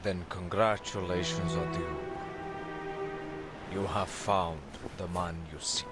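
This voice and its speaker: An actor playing a solemn, weary ancient man in a fantasy video game, grave, halting and portentous. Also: sits low, fairly even intonation, loud music behind the voice.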